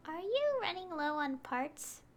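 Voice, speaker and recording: A woman's voice: very high voice, big pitch swings, unhurried. A woman streaming a video game, casually reading out dialogue and reacting to it. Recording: noisy stream with game audio and clicks.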